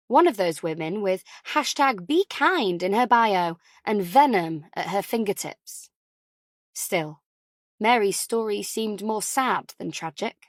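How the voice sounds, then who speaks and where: A woman's voice: mid-range voice, no echo, studio quality. A woman reading a thriller novel as a scripted audiobook narration.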